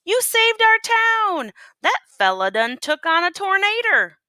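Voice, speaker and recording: A woman voicing excitable townsfolk in a Western storybook, loud and folksy. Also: a little slow, no room sound, clean studio sound, heavily acted. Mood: grateful, excited. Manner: loud, high-pitched, folksy. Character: excitable Old West townsfolk shouting to a stranger.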